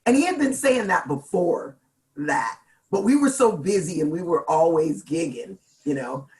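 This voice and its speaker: A middle-aged woman from Seattle with a deep, gravelly voice, chatting as a singer in an interview and laughing often. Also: animated, moderate pace, a little mellow. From Seattle, United States. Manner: chatty, laughs often, jokes about herself. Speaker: guest singer. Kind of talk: casual interview chat.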